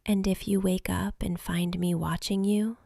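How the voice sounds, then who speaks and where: A woman's voice: on the low side, level tone, vocal fry, warm tone, no room sound. A woman voicing a lonely, centuries-old vampire girl in an intimate ASMR roleplay, whispering breathily and close to the mic with tender, hesitant longing. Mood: tender, wistful. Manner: slow, intimate, hesitant pleading. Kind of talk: whispered soft-spoken ASMR romantic roleplay.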